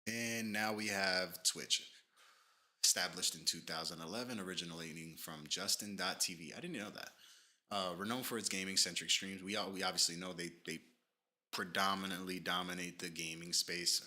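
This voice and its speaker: A man's voice: deep voice, pushed and bright. A man streaming and talking casually, a bit nasal from allergies, rambling with many fillers while he reads through notes. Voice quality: slightly nasal. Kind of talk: casual livestream commentary, reading from a page.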